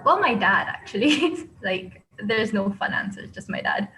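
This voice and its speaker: A woman's voice: medium pitch, animated, a little slow, sharp tone, slight background noise, rough recording. Chatty young woman interviewee. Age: 20s. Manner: chatty, frequent "like" and "kind of", laughs easily. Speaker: interviewee, architecture graduate. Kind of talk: casual personal interview.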